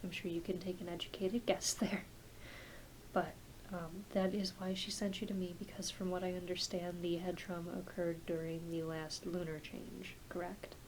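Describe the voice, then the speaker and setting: A woman playing a kind, reassuring doctor in a soft-spoken ASMR roleplay, talking in a gentle, hesitant, conversational way. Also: fairly low pitch, level tone, moderate pace, slight background noise, close to an everyday voice, rough recording. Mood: kind, welcoming. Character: kind, reassuring doctor who treats werewolves.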